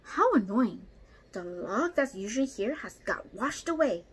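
A woman's voice: fairly high pitch, sing-song, measured pace, slightly rough, full character voice. A woman voicing an annoyed, growling female tiger in a children's storybook. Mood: irritated. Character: irritated female tiger, brave and grumbling.

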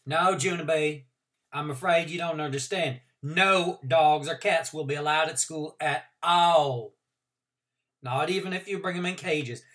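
A woman voicing a brisk, firm but kind female schoolteacher making clear classroom announcements. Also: deep voice. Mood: patient firm.

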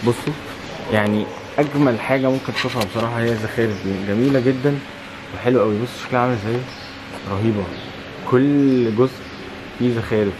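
Casting: Egyptian-accented male travel vlogger, twenties, very quick delivery, slightly low pitch, casual and emphatic. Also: background noise. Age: 20s. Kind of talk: casual vlog chat to camera. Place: old stone mosque, arcades and open rooftop. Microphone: camera held at arm's length.

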